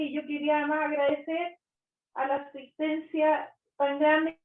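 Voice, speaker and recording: A woman moderating a video-call talk, asking for questions and thanking everyone politely. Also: high voice. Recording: tinny echoey call audio.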